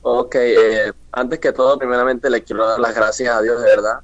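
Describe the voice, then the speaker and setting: A man's voice: mid-range voice, little pitch movement, normal speed, no room sound. A Venezuelan man of twenty-one speaking earnestly, with preacher-like declarations, as an interview guest. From Mérida, Venezuela. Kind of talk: radio interview, devotional testimony. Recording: dull lo-fi remote link, music behind. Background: music.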